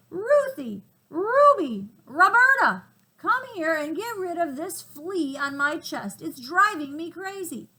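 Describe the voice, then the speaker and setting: A woman's voice: extremely high pitch, voice pushed up, exaggerated voice, low-quality recording. A woman voicing a huge, fierce, impatient male gorilla who blusters and rages in a storybook reading. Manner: loud, raging, demanding.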